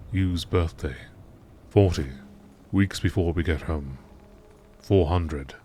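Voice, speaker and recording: Deep-voiced man narrating, documentary style. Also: a little mellow, slight background noise. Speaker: narrator reading game lore.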